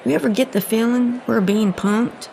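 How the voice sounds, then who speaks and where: A woman's voice: on the high side, fairly even intonation, normal speed, gravelly, warm tone, a little hiss or hum, low-quality recording. A woman playing a short-tempered, sardonic agent in a comedic audio drama, with a dry, scolding manner. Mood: sarcastic. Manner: dry, sarcastic, folksy scolding.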